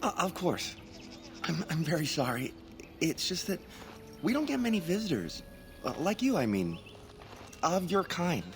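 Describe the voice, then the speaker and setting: High-pitched, earnest young elf hunter apprentice. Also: male voice, sing-song, unhurried, pauses often, faint music behind. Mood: nervous, flustered. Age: young adult. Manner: hesitant, stammering, earnest. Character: timid lovesick young male elf hunter apprentice, easily frustrated. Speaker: video game character. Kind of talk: dramatic game dialogue.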